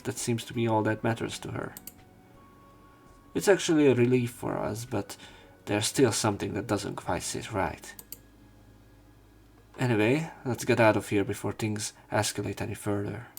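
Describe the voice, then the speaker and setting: A man narrating a visual novel playthrough, reading story text and dialogue aloud with some halting pauses. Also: fairly low pitch, gravelly, husky. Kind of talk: scripted narration and character line reading. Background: game music, keyboard typing.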